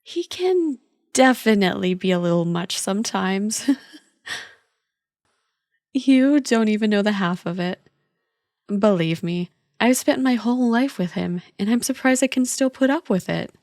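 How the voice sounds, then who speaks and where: A woman acts out a young woman, the teasing older sister of a friend, in an ASMR roleplay. Her voice sits at a medium pitch and she talks in a casual, playful, reassuring way. The sound is dry and close, with no room echo. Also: lively intonation, measured pace, a little mellow.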